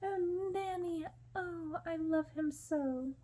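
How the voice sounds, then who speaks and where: A woman's voice: very high-pitched, slow pace, mellow, pitched above the performer's own voice. A woman voicing a sweet, lovestruck young princess in a storybook reading. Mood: dreamy, earnest.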